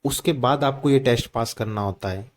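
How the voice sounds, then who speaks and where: A man's voice: mid-range voice, normal speed. An Indian man presenting an informal, instructive exam-coaching explainer, mixing in English terms and often checking in with the listener. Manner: instructive, mixes Hindi with English terms, repeats check-in tags. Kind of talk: informal explainer of a job notification.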